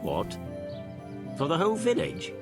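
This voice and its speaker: An actor playing a frank, shrewd, folksy medieval livestock dealer in a video game. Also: male voice, fairly high pitch, huge pitch swings, unhurried, loud music behind the voice. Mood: frank haggling. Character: male medieval livestock supplier, frank, shrewd village tradesman. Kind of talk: video game cutscene acting.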